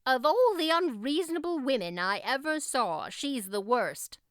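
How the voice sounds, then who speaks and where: Woman playing a brisk, stern older woman, clear-voiced. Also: high-pitched, lively intonation, a bit bright, no echo, studio quality, voice pushed up. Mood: indignant. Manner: brisk, curt, no-nonsense. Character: stern, practical older female guardian, gruffly kind. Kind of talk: audiobook character acting.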